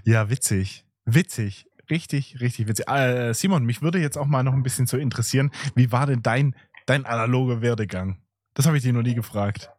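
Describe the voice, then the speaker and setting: A man with a Swabian accent co-hosting a relaxed podcast, often chiming in to agree. His mid-range voice is recorded close and dry, with no room sound. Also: medium pitch, a little fast, no echo. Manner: affirming, interjects short agreements. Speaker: podcast co-host. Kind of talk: casual chat.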